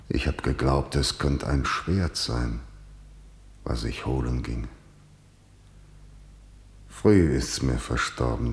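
Very deep, broken tyrant king, echoing. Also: heavily acted. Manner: heavy, declamatory, bitterly resigned. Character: broken, bitter tyrant king mourning his son. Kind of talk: tragic verse drama for radio. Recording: echoey hall with long reverb.

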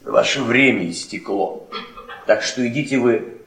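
An actor playing a brash, ambitious young biology lecturer in radio theatre, insistent and given to emphatic repetition. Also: male voice, mid-range voice, a little slow, fairly bright tone, natural voice. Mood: cutting. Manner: insistent, repeats himself emphatically. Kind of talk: Soviet radio theatre acting. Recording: echoey stage recording, off mic.

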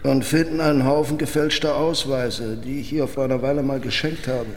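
An actor playing a loyal, casual-talking robber in a German radio crime drama. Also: male voice, medium pitch, fairly even intonation, a little fast, a little hiss or hum, natural voice. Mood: tense, concerned. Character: male robber, loyal to his jailed girlfriend. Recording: long reverb with music underneath.

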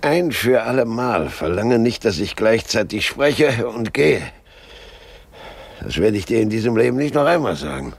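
Gravelly, cantankerous old man. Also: lowish voice, very animated, moderate pace, dry recording, full character voice. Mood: querulous, scolding. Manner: grumbling, pedantic, declamatory. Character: cantankerous blind elderly husband, miserly and querulous. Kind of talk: radio drama acting.

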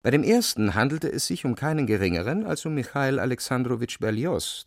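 An actor as the storyteller of a satirical novel, narrating a radio drama in a gravelly voice. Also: male voice, on the low side, no room sound, clean studio sound.

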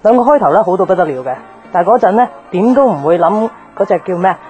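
An actress playing a guarded, jaded young tomboyish bar owner in a radio drama, offhand and slangy with a Hong Kong Cantonese accent. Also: female voice, lowish voice, lively intonation, quick pace, warm tone, natural voice. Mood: rueful, confiding. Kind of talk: naturalistic radio drama acting. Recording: music behind the voice.

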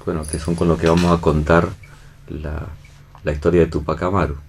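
A man's voice: extremely low pitch, flat delivery, a little fast, creaky, a little hiss or hum. A man with an Argentine accent, a director explaining his work in casual interview talk, often checking for agreement. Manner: seeks agreement with tag questions. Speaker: theatre and film director being interviewed.

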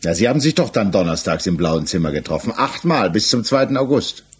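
Deep, husky, probing magistrate. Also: male voice, very animated, heavily acted. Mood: measured, probing. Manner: measured, insistent questioning. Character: probing, persistent male examining magistrate, composed and official. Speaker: actor in a radio crime drama. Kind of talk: 1960s German radio play acting. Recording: 1960s mono radio production.

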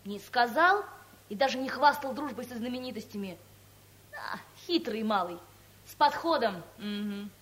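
A breezy, know-all secretary, young and full of gossip. Her voice is high and lively, with a colloquial radio comedy delivery. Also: normal speed. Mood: breezy, chatty. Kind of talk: radio comedy play acting.

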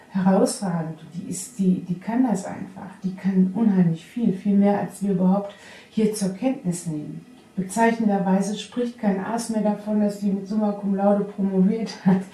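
A middle-aged or older woman in candid personal conversation, speaking in a reflective, halting way. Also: medium pitch, dark tone. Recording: echoey room, distant from mic.

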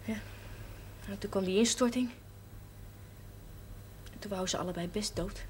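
An actress playing a blunt, passionate, defiant daughter in a television drama, speaking coarsely and emphatically. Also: female voice, medium pitch, a little slow, natural voice. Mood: bitter, insistent. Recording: faintly noisy old television soundtrack.

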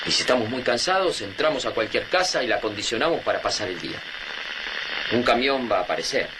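An actor playing a wry, sceptical older survivor with an Argentine accent in a radio drama, speaking emphatically and colloquially. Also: male voice, mid-range voice, lively intonation, quick pace, noisy background, close to an everyday voice. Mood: practical. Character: wary older male friend, argumentative and wry survivor.

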